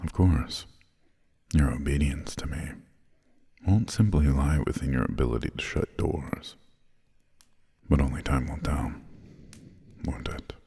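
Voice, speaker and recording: A man playing an imperious, centuries-old vampire lord in an ASMR roleplay, courteous but condescending, with formal, measured, soft speech and a breathy, gravelly voice close to the microphone. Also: very deep voice, no echo, full character voice. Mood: cool, appraising. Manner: slow, measured, formal, haughty, drawn-out pauses. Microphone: close, dry studio microphone.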